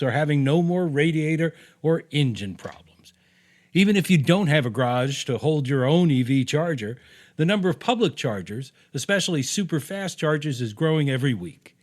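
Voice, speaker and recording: Higher-pitched American man, punchy and opinionated. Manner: punchy, opinionated, sarcastic, salty-tongued. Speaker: author and podcast host. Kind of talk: reading his own opinion article aloud.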